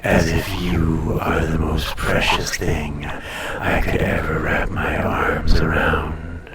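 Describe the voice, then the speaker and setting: Guy doing a super slow low ancient dragon, tender n possessive, breathy murmurs, romance roleplay audio. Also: level tone, echoey room, far from the mic, very noisy. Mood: teasing, intimate. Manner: slow, soothing, teasing, often dropping to a breathy murmur. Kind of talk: intimate roleplay audio drama, personal attention.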